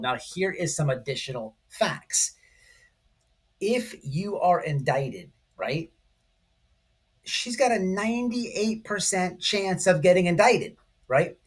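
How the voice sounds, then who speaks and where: A man giving casual, excitable online commentary, speaking emphatically to his viewers and repeating his points. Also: on the high side, breathy edge. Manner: excitable, emphatic, repetitive, addressing viewers directly. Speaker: online commentary channel host. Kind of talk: casual, informal video commentary.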